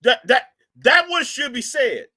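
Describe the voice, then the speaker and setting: A man hosting a live stream, reacting to a clip with excitable commentary, repeating phrases and breaking into loud outbursts. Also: extremely high pitch, measured pace.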